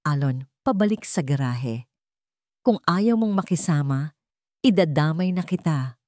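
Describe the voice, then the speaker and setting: A woman's voice: deep voice, expressive, measured pace, mellow, no echo, clean studio sound. A woman storyteller voicing a ruthless, furious older matriarch in a menacing, commanding way. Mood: threatening.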